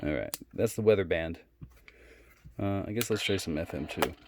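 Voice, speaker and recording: Low-voiced man, casual and halting. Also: very noisy. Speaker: product reviewer. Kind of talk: casual unscripted product demonstration.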